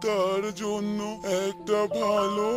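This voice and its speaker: Elderly village patriarch, played by a man, patient and scheming, unhurried cartoon delivery over clear background music. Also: high-pitched, completely flat delivery. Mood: solemn. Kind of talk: Bengali cartoon voice acting.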